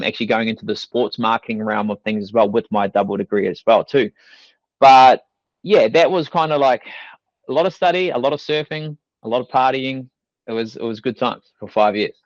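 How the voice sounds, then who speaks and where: Laid-back New Zealand man, low voice. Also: dry recording. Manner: rambling, heavy on fillers like "you know" and "like". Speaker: guest, fitness coach. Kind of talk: casual podcast interview. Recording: dull dry studio sound.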